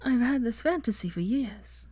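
A woman's voice: fairly high pitch, lively intonation, moderate pace, a little mellow, breathy edge, no echo, pitched above the performer's own voice. Woman reading her as a lifted, eager young woman, breathless and earnest, dry close sound. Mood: coy. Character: eager, bookish young woman, bold in private.

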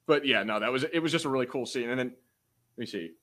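A man co-hosting a podcast in an informal, halting way, chatting and reading out comments with the odd swear word. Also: mid-range voice, fairly bright tone. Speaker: podcast co-host. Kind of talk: casual chat, reads out viewer comments.

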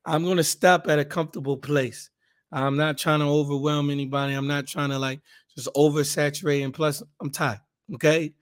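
A man with a hoarse, raspy voice, hosting a casual Bible podcast and leading a fervent spoken prayer. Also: a little high, flat delivery, brisk. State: hoarse, raspier than usual.

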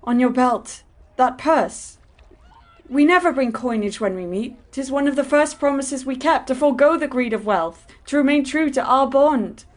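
A woman's voice: high voice, animated, moderate pace. A woman playing Edwin, a devout, visionary young man, speaking in earnest, old-fashioned dialogue for a drama. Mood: accusing.

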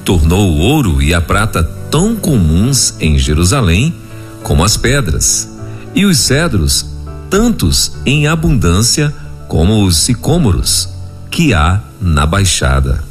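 Jovial male radio host with a low voice and lively swings in pitch, on a radio broadcast with faint music in the background. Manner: jovial, laughs often, reads listener questions. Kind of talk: live radio chat.

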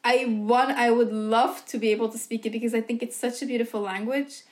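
A woman's voice: a little high, fairly even intonation, a little fast. A young Dutch-speaking woman from Belgium, co-hosting a casual podcast chat and filling her talk with "like" and "um". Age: young adult. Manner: chatty, heavy use of "like" and "um". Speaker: podcast co-host.

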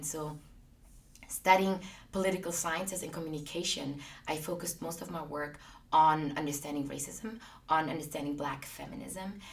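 A woman, a guest in an interview, speaking earnestly and reflectively, often pausing on fillers. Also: low voice, vocal fry, distant mic. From Austria. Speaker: guest, business founder. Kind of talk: conversational interview.

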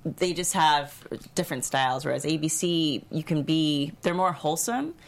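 A woman's voice: deep voice, normal speed. A woman on a discussion panel, chatting casually about the work at hand.

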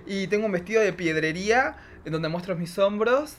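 Bright, campy Argentine drag queen guest. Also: high-pitched, animated, normal speed, slight background noise. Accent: Argentine Spanish. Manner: campy, gushing slang. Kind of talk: casual chat.

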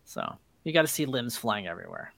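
A man's voice: medium pitch, very animated, brisk, gravelly, a bit bright, dry recording. A man hosting a podcast in casual conversation, agreeable and often chiming in. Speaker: podcast host.

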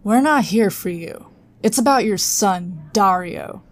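A woman's voice: mid-range voice, animated, measured pace, dry recording. A woman playing a confident, powerful psychic agent in a sci-fi audio drama, direct and matter-of-fact.

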